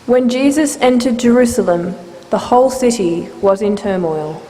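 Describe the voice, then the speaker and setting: A woman's voice: fairly high pitch, normal speed, mellow. A woman giving a formal, scripted narration of a scripture story. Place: large church, live reading. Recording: reverberant church hall, faint noise.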